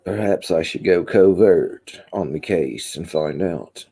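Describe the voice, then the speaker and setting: Kentucky-accented man, livestream host, dark warm tone, loose chatty talk full of ums. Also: deep voice, slow pace, breathy edge. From Kentucky, USA. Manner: rambling, answers chat comments, many ums. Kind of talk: casual live-chat stream.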